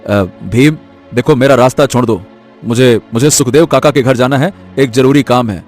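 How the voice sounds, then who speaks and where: A man's voice: mid-range voice, moderate pace, a little mellow, soft background music, lightly acted. A man voicing a poor, earnest and anxious young woodcutter in a cartoon story, speaking hesitantly. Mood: hesitant, resolved. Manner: hesitant, stammering, pleading. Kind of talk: animated story voice acting.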